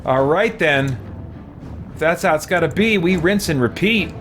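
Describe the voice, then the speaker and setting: A man's voice: fairly high pitch, lively intonation, moderate pace. A man giving casual live gameplay commentary as a streamer, now and then reading chat aloud. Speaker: video game streamer. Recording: loud game music behind voice. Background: loud game music and effects.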